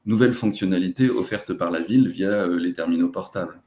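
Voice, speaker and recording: A man presenting a webinar, explaining examples in a hesitant, halting way. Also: low voice, darkish tone.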